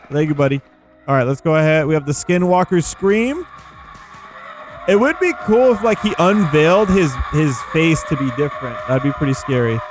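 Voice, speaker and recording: Man, fairly high voice, casual gameplay commentary, slangy and rambling, music behind. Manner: rambling, playful, informal slang. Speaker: gaming video host. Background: background music.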